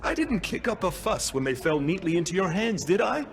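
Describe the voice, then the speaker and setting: A man's voice: sits high, big pitch swings, brisk. A man voicing a smug, self-important youthful clerk in a video game, wordy and pompous in his theatrical delivery. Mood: wheedling, aggrieved.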